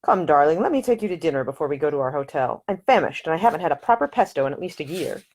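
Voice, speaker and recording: A woman voicing a charming young gentleman who talks in a playful, gallant, gently teasing way. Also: sits low, very animated, rapid-fire, full character voice.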